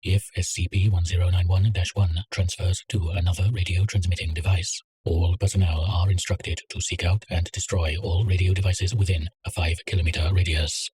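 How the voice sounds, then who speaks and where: A man reading a containment document aloud in a measured, clinical way. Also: low voice, level tone, a little mellow, slightly rough. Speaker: narrator. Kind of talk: scripted reading of a containment file.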